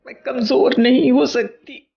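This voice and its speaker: An actress playing a troubled, guilt-torn woman in a radio play, halting and repeating phrases as she resists forbidden love. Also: high voice, steady tone, vocal fry, dark tone, breathy edge, muffled recording, heavily acted. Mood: torn pleading. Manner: halting, repeats phrases, drops to anguished whispers. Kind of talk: Hindi radio play acting. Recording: music under a radio play.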